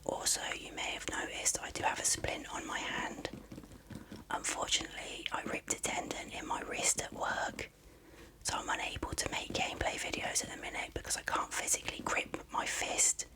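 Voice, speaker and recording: Whispering ASMR presenter, unvoiced and breathy. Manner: soft-spoken, unhurried, explanatory. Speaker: video presenter. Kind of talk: ASMR whispered unboxing narration.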